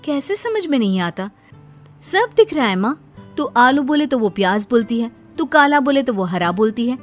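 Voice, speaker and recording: A woman's voice: on the high side, sing-song, normal speed, faint music behind. A woman voicing a meddling grown daughter in a moral story, needling and goading her mother insistently. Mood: exasperated. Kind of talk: Hindi moral story acting.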